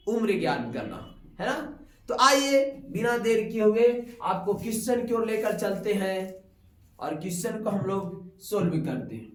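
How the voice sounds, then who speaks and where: A male maths teacher from Bihar taking a class, explaining step by step and constantly quizzing a pupil. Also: high voice. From Bihar, India. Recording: echoey room.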